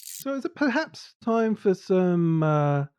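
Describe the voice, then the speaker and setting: A man's voice: high voice, big pitch swings, takes it slowly, darkish tone, a little hiss or hum. An Australian man co-hosting a podcast, dropping in teasing, jokey remarks during casual chat. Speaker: podcast co-host. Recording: dull lo-fi, dry sound.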